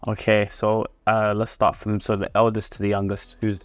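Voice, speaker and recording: A man speaks as a podcast host in a casual interviewing style. His voice is on the low side and moves very little in pitch. The sound is dry. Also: completely flat delivery, moderate pace, no echo.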